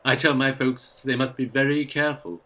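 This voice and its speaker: An actor playing a meek, kindly old English rector in a radio play, hesitant, apologetic and haunted. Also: male voice, mid-range voice, steady tone, brisk, pushed and bright, close to an everyday voice. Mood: gentle, troubled. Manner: hesitant, apologetic, nervously chuckling. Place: actor's home.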